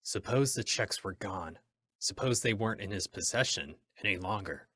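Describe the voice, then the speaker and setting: A man playing a cool, self-possessed masked vigilante in an old-time radio drama, speaking with deliberate, taunting control. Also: on the low side, sharp tone, husky. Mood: cool, probing.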